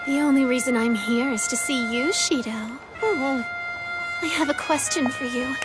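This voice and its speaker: A coquettish young anime girl with a dark streak. Her voice is extremely high, with teasing, dramatic, drawn-out phrasing typical of a dub. Prominent music runs underneath. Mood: coy, teasing.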